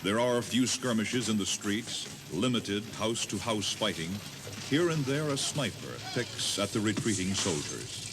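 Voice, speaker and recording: A man's voice: fairly low pitch, animated, moderate pace. A man giving solemn, theatrical scripted narration as a tour guide. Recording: noisy old cassette tape.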